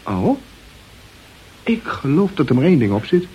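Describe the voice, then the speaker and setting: A man's voice: a little high, very animated, normal speed, mellow, slightly rough, muffled recording, full character voice. An actor playing a cheeky, reckless newspaperman in an old radio play, flippant and ironic. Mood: wry. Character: cheeky, reckless male newspaperman amused by danger.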